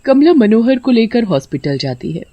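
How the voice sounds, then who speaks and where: A woman's voice: on the high side, sing-song, moderate pace, no echo, highs cut off. A woman reading a scripted story as narrator.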